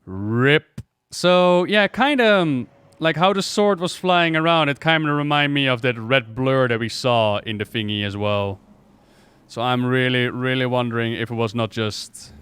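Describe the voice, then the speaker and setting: A man's voice: mid-range voice, a bit bright, clean tone. A man giving casual livestream reaction commentary as a game lore YouTuber, explaining things in a chatty, informal way.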